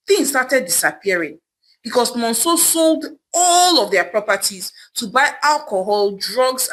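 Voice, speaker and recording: A female storyteller delivers a dramatic folktale narration. Her voice is fairly high and bright, with lively swings in pitch. She leans emphatically on her phrases as she tells the tale. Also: poor audio.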